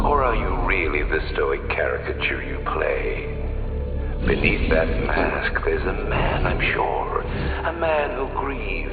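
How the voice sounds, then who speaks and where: A man playing a sinister, cold video game supervillain, a master of fear who taunts in slow, deliberate, ominous theatrical monologues. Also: rumbling low, exaggerated voice. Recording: dull, reverberant, distant, under loud music.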